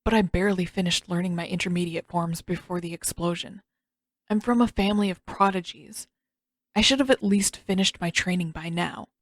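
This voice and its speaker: Woman voicing reserved teenage boy, low-key, hesitant. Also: steady tone, brisk, dry recording. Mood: self-doubting. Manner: hesitant, subdued. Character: self-doubting, reserved teenage firebender boy prince. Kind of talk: audiobook character dialogue.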